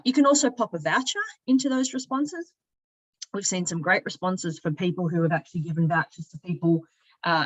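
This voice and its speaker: A woman narrating an informal software walkthrough, explaining each step conversationally as a product demonstrator. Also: fairly low pitch, muffled recording. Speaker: product demonstrator, company sales and marketing director.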